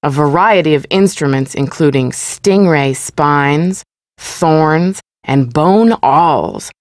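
A woman playing a composed museum exhibit narrator in a game, giving measured, formal educational narration. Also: low voice, lively intonation, no echo, clean studio sound.

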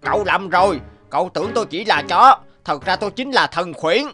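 Solemn, high-pitched divine dog. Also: male voice, animated, fairly quick, sharp tone, faint music behind. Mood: stern warning. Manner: declamatory, admonishing. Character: divine talking dog, stern, solemn moral admonisher. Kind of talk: animated folk-tale dubbing.

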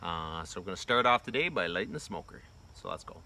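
A man's voice: deep voice, big pitch swings, quick pace, background noise. Plainspoken man in an outdoor bee yard. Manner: plainspoken, loose, many fillers. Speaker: homesteading vlogger. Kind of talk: casual how-to demonstration. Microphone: phone camera held close. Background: crickets and insects.

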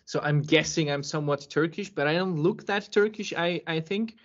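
A man, hosting a podcast interview over a video call and pausing often with ums and restarts. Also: fairly high pitch. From Serbia. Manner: hesitant, many ums and restarts. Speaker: podcast host. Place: home room with bookshelf. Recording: muffled video-call audio.

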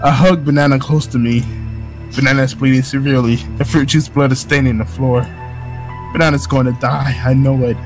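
A man's voice: mid-range voice, fairly even intonation, a little fast, soft background music. A man narrating a visual novel playthrough aloud in an amused, mock-dramatic way.

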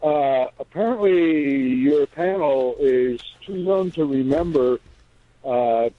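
A man's voice: mid-range voice, slow. An older man phoning in to a radio show, speaking in a hesitant, folksy way over a telephone line. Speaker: radio phone-in caller. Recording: dull, dry telephone line.

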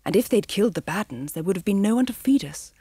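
An earnest, eager young male puppy from a storybook tells his father everything, as a woman narrator puts him on. The voice sits a little higher than her own and sounds slightly husky, close and dry. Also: lively intonation, fast, dark tone, no room sound. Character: brave young male Dalmatian pup.

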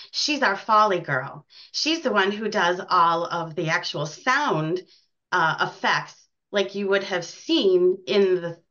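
A woman's voice: medium pitch, sharp tone. An American woman chatting casually as an interview guest about her work in community theater. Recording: dull, lo-fi sound.

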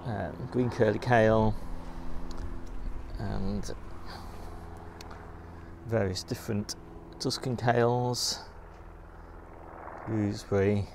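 Low, gravelly man. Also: fairly even intonation, slow pace, long pauses, a little mellow. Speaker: gardener giving a garden tour. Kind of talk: casual unscripted vlog narration while walking. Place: outdoors in a home garden. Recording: clear outdoor background noise.

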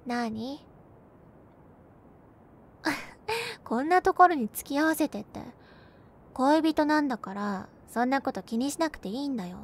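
A gentle young girlfriend acted by a woman talks tenderly to her partner in an intimate roleplay. Her voice is high and soft, with a playful, caring touch. There is some background noise with a low rumble. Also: lively intonation, unhurried, long pauses. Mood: reassuring, tender. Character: gentle, cheerful young girlfriend comforting her overworked boyfriend. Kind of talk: Japanese binaural situation-voice roleplay, spoken to the listener.

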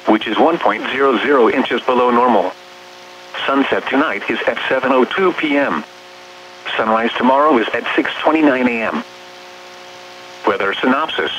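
Synthetic male announcer for automated weather bulletins; impersonal, measured, mid-pitched, over a muffled radio signal. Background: radio hum and noise.

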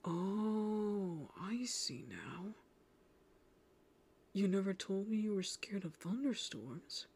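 A woman voicing a gentle, caring teenage boy in an anime audio roleplay, soothing a partner in a breathy voice that often drops to a whisper. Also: medium pitch, expressive, exaggerated voice. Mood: tender concern. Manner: soothing, tender, slow.

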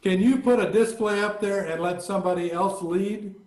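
Older man, group member, slightly high voice, echoey room with faint hiss. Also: darkish tone. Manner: suggesting songs, a bit halting. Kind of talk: casual chat. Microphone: video call.

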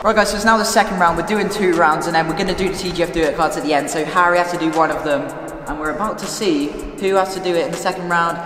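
A man's voice: on the high side, steady tone, fast. A young man, casually presenting a vlog and calling out a race. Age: teens to young adult. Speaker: vlog host. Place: large empty abandoned indoor hall. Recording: echoey empty hall, loud music behind.

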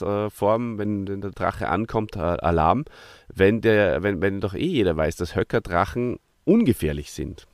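A man with a low voice hosts a casual review podcast. His phrasing is halting as he thinks aloud, and the sound is close and dry. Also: no room sound. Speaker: podcast host.

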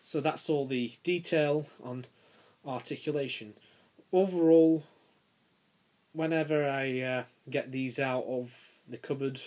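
A young man around nineteen giving a casual, unscripted review as a video creator, halting and pausing mid-phrase. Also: mid-range voice, darkish tone. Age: young adult, about 19. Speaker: YouTube toy reviewer. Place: small bedroom, indoors. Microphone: handheld camera's built-in mic.

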